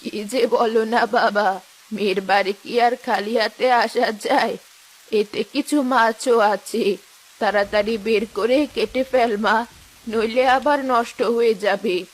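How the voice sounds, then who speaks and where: A woman doing a slow-talking, motherly old village granny. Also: fairly high pitch, fairly even intonation, pitched below the performer's own voice, low-quality recording. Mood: kindly, fussing. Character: gentle elderly village mother-in-law. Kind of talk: cartoon story dialogue.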